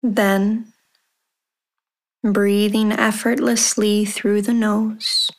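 A woman leading a guided meditation as host, giving calm, measured instructions with long pauses. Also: mid-range voice. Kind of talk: guided meditation, body scan.

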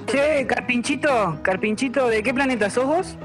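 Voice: medium pitch, normal speed, lightly acted. An actor playing a cheeky, streetwise radio panelist with an Argentine accent, bantering in brash slang in a comic radio play. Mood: brash, joking. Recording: loud music behind.

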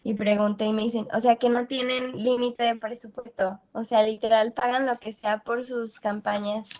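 A young Mexican woman with a Mexican accent, speaking in a voice a little higher than most. She chats freely and slangily as a podcast guest and laughs easily. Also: little pitch movement, moderate pace, a little mellow. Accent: Mexican Spanish. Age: young adult. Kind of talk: casual chat.

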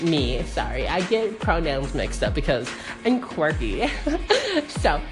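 A woman's voice: deep voice, very animated, slow, music in the background, full character voice. A woman playing a shallow, self-absorbed young podcaster who talks in a giggly valley-girl drawl. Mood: unhinged cheerful. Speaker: host of her own audio show. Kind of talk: comedic parody monologue.

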